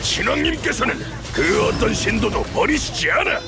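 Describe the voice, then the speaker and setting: An actor playing a zealous, defiant cult warrior captain in a game, speaking in fierce battle oratory. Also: male voice, very high voice, very animated, normal speed, creaky, over loud music, full character voice.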